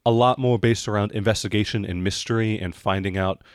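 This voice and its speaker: Lower-voiced American man explaining game rules. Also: steady tone, a little fast, clean tone, no room sound, studio quality. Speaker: game master.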